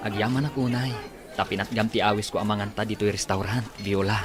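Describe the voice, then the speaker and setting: A man playing a lowish-voiced young Ilocano suitor. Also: steady tone, fast talker, over loud music, lightly acted. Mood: tender, friendly. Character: friendly young village suitor courting a neighbour. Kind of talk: Ilocano radio drama acting.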